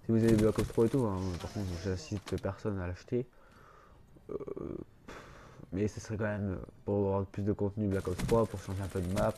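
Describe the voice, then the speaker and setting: A man's voice: on the low side, flat delivery, a little fast, dark tone, a little hiss or hum. A man with a blocked, stuffy nose giving casual gameplay commentary, rambling and hesitant, full of fillers and restarts. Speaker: gaming YouTuber.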